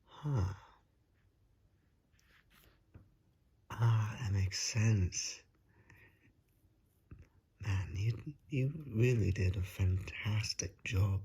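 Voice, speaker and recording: Man playing a hushed, low-voiced courteous gentleman vampire. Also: exaggerated voice. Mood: admiring. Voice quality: soft, breathy, often whispered. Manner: polite, old-fashioned, hesitant, flattering. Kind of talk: ASMR roleplay.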